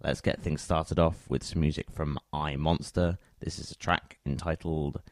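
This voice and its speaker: Guy djing a radio show, super low gravelly voice, chatty links between songs. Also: level tone, normal speed, dry recording, clean studio sound.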